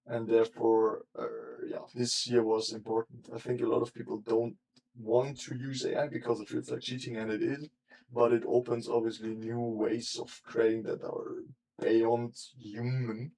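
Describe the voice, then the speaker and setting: A man's voice: mid-range voice, little pitch movement, normal speed, mellow, rough recording. A young man streaming casually as he works, thinking aloud in loose, rambling sentences that often trail off. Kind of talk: casual live-stream chat while working. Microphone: desk mic.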